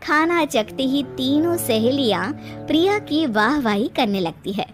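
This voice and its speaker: A woman narrates an animated bedtime tale as scripted storytelling. Her voice is high and her pace slow, with faint music playing underneath.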